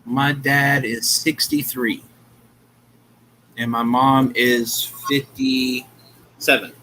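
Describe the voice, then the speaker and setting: A man in his thirties teasing friends in a relaxed, laid-back casual chat. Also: medium pitch, extremely slow, slight background noise, low-quality recording. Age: 30s. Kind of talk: casual chat on a group call.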